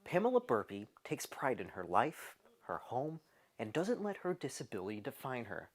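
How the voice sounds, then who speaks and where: A woman reporter reading a scripted news voice-over in a measured, emphatic way. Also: rumbling low, sing-song.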